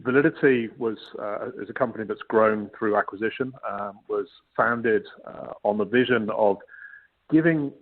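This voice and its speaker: A man's voice: fairly low pitch, moderate pace. A man from the UK with a British accent, introducing himself as a webinar panelist. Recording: tinny phone-like line.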